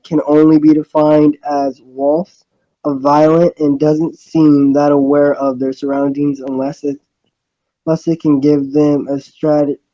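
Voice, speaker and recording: A narrator reading a visual novel aloud in a halting way, voicing the lines of dialogue, on a dull microphone. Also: mid-range voice, flat delivery.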